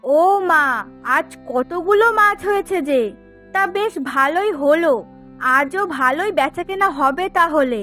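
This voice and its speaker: A woman's voice: very high voice, measured pace, pushed and bright, faint music behind, exaggerated voice. A woman voicing a chatty, eager village fishwife with a coaxing, folksy manner in a fairy tale cartoon. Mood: pleased, chatty.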